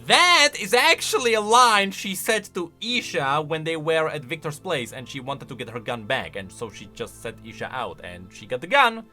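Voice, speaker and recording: A man's voice: a little high, lively intonation, normal speed, hardly any pauses, pushed and bright, soft background music. A man giving casual, enthusiastic reaction commentary, explaining game lore as he goes.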